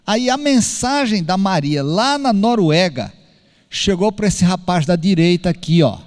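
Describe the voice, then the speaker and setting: A man preaching a sermon, telling a story in an animated way and acting out the dialogue. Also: high-pitched, unhurried. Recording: long hall reverb.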